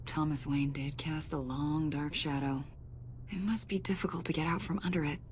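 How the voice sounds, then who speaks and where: An actress playing a probing, sardonic government agent in a video game, questioning someone in an insinuating, needling way. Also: female voice, low voice, fairly even intonation, normal speed, slight background noise, lightly acted. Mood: coolly insinuating. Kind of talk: cinematic video game dialogue. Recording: music behind the voice.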